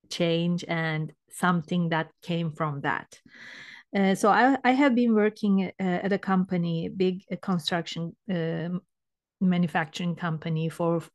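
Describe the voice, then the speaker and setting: A middle-aged woman from Turkey, speaking English with a Turkish accent, telling her story hesitantly with many pauses. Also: on the low side. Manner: hesitant, many fillers and restarts. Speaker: guest coach. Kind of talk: personal story in interview.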